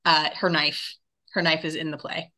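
A woman's voice: low-pitched, flat delivery, normal speed, pushed and bright. A woman who works as a theatre director, chatting casually as an interview guest.

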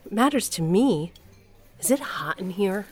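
A woman acting in an audio drama as a middle-aged mother and wife, expressive and wry. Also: medium pitch, a little slow, slightly rough, soft background music. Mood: shocked.

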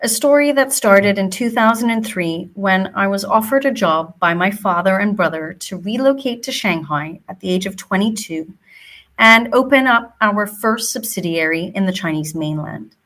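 A woman's voice: medium pitch, normal speed. A woman in her forties from Hong Kong with a mixed American and British accent, hosting a podcast and telling her own story casually with frequent ums. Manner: relaxed, fillers like um between phrases. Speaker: podcast host. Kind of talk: scripted podcast intro then casual storytelling.

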